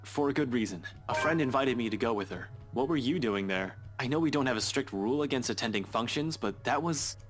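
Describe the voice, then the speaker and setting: A man's voice: mid-range voice, expressive, fairly quick, hardly any pauses, over music, close to an everyday voice. A man playing a hot-headed, determined young detective in a game, arguing in an indignant, insistent way. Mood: wary.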